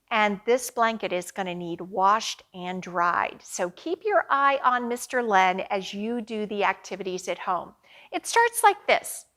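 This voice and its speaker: A woman hosting a children's storytime, speaking clearly to young children with a sing-song delivery. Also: a little high, pushed and bright. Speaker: children's library storytime host. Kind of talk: storytime hosting with a chanted action rhyme.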